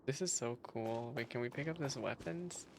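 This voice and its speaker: A woman giving casual, excitable playthrough commentary as a game streamer, full of exclamations. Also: extremely low pitch, normal speed, very noisy. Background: game music.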